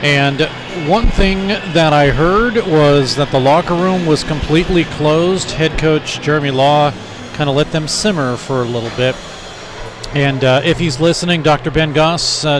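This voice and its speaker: A man calling a live college hockey game, chatting with co-hosts and rising in excitement on shots. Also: a little high, unhurried, background noise. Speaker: play-by-play announcer. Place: ice arena broadcast booth. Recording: loud arena crowd and music.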